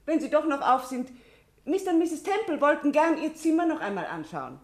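Brisk, proper lady in charge, higher-pitched. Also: very animated. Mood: brisk, scolding. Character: brisk, courteous, mildly strict lady in charge. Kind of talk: 1950s German radio drama acting.

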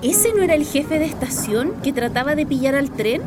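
A woman's voice: sits high, animated, moderate pace, over music. An actress playing a nagging but caring Chilean wife and mother in a radio drama, chiding and inquisitive. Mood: curious. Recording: clear background noise.

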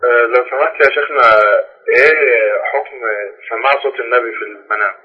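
A man's voice: medium pitch, quick pace, slightly rough, dull audio. A man calling in by phone with a hesitant, colloquial question. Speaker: caller.

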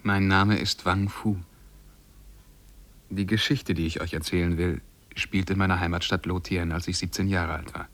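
An actor playing a lovesick, melancholy man who tells the story of his youth in a measured, mournful way, in 1950s German radio drama style. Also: deep voice, flat delivery, a bit bright. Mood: melancholy, narrating.

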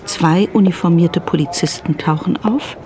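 A woman giving a measured, scripted narration in a radio drama. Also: on the low side, dark tone.

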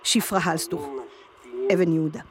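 A woman's voice: sits low, steady tone, normal speed, a crowd in the background, natural voice. An actress playing an earnest, hardworking woman chicken farmer, confiding and insistent, in a radio play monologue.

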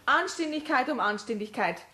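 A woman's voice: high-pitched, expressive, moderate pace, pushed and bright. An actress playing a decent, firmly insistent mother with a Bavarian accent in a German radio play. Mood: insistent, grateful. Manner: firm, friendly insistence.

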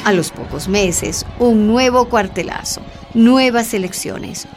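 A woman's voice: mid-range voice, takes it slowly. A woman giving scripted narration for a radio drama. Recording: clear background music.

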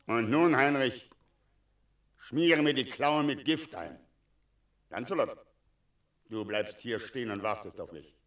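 A menacing dragon lord in a radio drama. He is scornful and used to command, drawing out his words in a forceful voice that rings through a roomy space. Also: mid-range voice, slow pace, long pauses, far from the mic. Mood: commanding, threatening. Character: imperious, menacing male dragon who commands servants. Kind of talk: German radio play acting.

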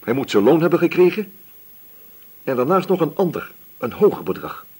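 Husky, probing police inspector. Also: male voice, fairly high pitch, sing-song, moderate pace, a little mellow. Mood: musing, assured. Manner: musing, hesitant probing with repeated questions. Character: shrewd male police inspector, casually probing, patient. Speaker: actor in a radio play. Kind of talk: radio drama dialogue.

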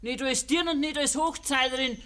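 A man's voice: very high voice, fairly even intonation, moderate pace, pushed and bright. A man acting a surly, mocking village carter with a Bavarian accent in a 1950s dialect radio drama. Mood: scornful.

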